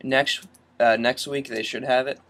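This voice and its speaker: A young man hosts a casual tech tutorial from his computer. His voice sits at an average male pitch, and he talks informally, as if showing a viewer around his screen. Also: steady tone, a little slow, fairly bright tone. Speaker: tech vlogger.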